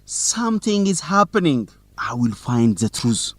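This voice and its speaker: An actor playing a teasing, suspicious young student newsletter reporter in an educational radio drama, with a determined manner. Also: male voice, mid-range voice, big pitch swings, unhurried, a bit bright, dry recording.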